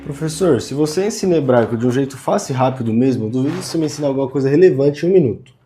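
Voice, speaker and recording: A man's voice: medium pitch, expressive, very fast, music in the background, natural voice. A man playing a skeptical student who challenges a teacher in a radio ad, in a teasing tone. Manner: teasing, doubtful. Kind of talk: radio ad dialogue.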